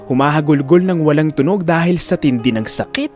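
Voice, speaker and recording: A man's voice: on the high side, lively intonation, a little fast, darkish tone, faint music behind. A man narrating a scripted drama story in a dramatic style.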